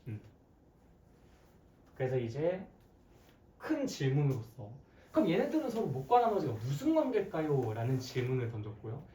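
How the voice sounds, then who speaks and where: A math teacher with a hoarse voice giving an interactive classroom lecture, playful and conversational, often posing questions to students. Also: mid-range voice, warm tone. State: hoarse, frequent throat clearing. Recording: echoey, distant mic, background noise.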